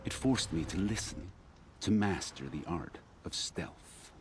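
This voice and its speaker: A man playing a solemn, patient keeper of hunting grounds in a video game, with measured, deliberate speech. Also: sits low, animated, a little hiss or hum. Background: music.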